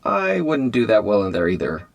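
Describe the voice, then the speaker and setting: A man's voice: on the low side, wildly swooping pitch, moderate pace, creaky, exaggerated voice. An actor playing a wisecracking male armored hero who makes dry jokes. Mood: wry.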